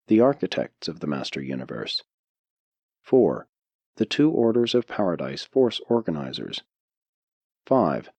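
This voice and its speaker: A man with a low voice reads a formal audiobook text in a deliberate, measured manner. His pitch moves widely as he narrates, and the recording is dry and close, free of room sound. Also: gravelly, darkish tone, breathy edge, dry recording. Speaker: audiobook reader.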